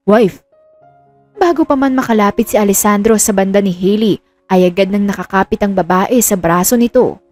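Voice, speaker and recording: A woman's voice: mid-range voice, darkish tone. A woman reading a scripted romance story as a dramatic storyteller.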